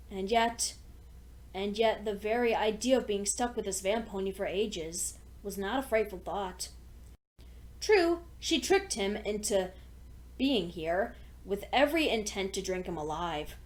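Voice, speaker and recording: A woman's voice: medium pitch, lively intonation, unhurried. A woman reading fan fiction aloud as a scripted story narration.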